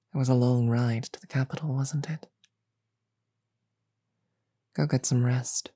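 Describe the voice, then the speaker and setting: A woman voicing a regal, teasing, magnanimous demon queen in a roleplay audio drama, with a deliberate, amused drawl. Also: very deep voice, steady tone, breathy edge, dry recording, studio quality. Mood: commanding, kindly. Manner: slow, deliberate, amused drawl with soft chuckles.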